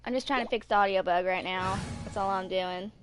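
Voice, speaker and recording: A woman's voice: mid-range voice, a little fast, background noise. A woman in her twenties chatting casually and in a laid-back way with viewers as a live streamer. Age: 20s. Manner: laid-back, sing-songs filler syllables to self.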